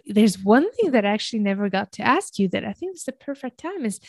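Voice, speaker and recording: A woman's voice: mid-range voice, darkish tone, no echo. A woman hosting a podcast interview, enthusiastic and effusive as she introduces her guest. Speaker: podcast host and business coach.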